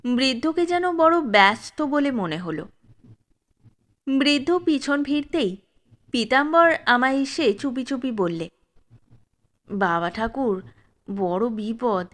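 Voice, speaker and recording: Woman storyteller, higher voice, very slow, measured reading. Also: sing-song, a bit bright. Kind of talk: storybook narration.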